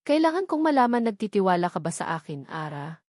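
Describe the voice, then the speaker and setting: A woman's voice: medium pitch, sing-song, fairly quick, a little mellow, no echo, clean studio sound. A woman voicing a determined, protective man in a romantic story, earnest and reassuring.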